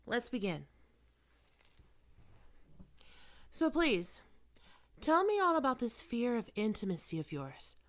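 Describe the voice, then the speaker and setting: A woman playing a probing, smoothly manipulative psychiatrist in an ASMR roleplay, speaking in measured, deliberate phrases. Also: fairly high pitch, very animated. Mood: clinical, probing. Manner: measured clinical questioning with deliberate pauses. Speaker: voice actor performing a roleplay.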